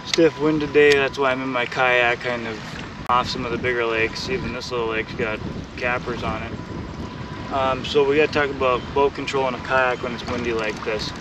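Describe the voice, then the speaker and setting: A young man teaching fishing from a kayak on a lake outdoors, talking in a relaxed way to an action camera. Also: mid-range voice. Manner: relaxed explaining, bursts of excited shouting when fish bite. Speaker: fishing host. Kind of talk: casual on-the-water instruction. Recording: distant mic with wind and water noise.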